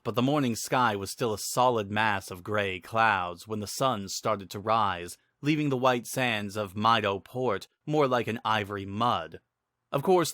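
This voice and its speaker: Bright-voiced man narrating a fantasy story. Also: fairly low pitch, no echo. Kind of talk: dramatic reading of fantasy fiction.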